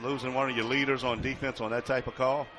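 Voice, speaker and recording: A man's voice: mid-range voice, little pitch movement, fast talker, noisy background. A man giving conversational live football analysis as a radio color commentator at a stadium.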